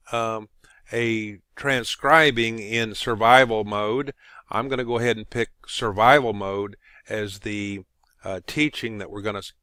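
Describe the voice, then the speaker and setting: A man with a fairly low, clean and resonant voice walks listeners through software steps. He speaks informally and haltingly, thinking aloud as he goes. The sound is dry, with very little room in it. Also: no room sound. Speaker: tutorial presenter. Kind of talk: informal screen-recorded software walkthrough.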